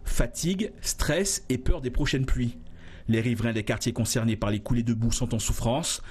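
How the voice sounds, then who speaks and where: A man reading a news report voice-over in a measured, even tone. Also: mid-range voice, slightly rough.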